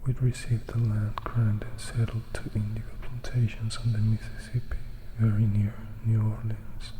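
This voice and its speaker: A man voicing a composed, melancholy young vampire in a hushed, measured storybook whisper. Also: lowish voice, monotone, a little hiss or hum, close to an everyday voice. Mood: somber, measured. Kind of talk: whispered ASMR storybook reading.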